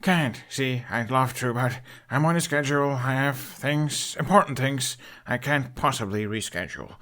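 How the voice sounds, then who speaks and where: An awkward young Viking runaway, played by a man in an audiobook. He speaks in a lifted pitch, hesitant and evasive but earnest. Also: moderate pace, fairly bright tone, lightly acted. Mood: nervous, evasive.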